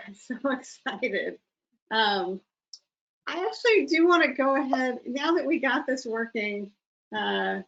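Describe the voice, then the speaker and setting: A woman's voice: fairly high pitch, a bit bright, muffled recording. A woman trainer hosting an online training session, chatty and apologetic about technical trouble. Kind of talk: casual presenting to a webinar. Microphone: video call.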